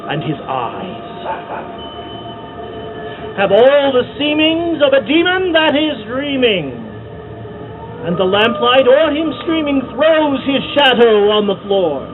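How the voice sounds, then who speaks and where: A man giving a dramatic poetry reading in a theatrical, measured way with deliberate pauses. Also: very high-pitched, big pitch swings, over music. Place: outdoors at night by a cornfield. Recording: muffled amateur outdoor recording, loud background noise.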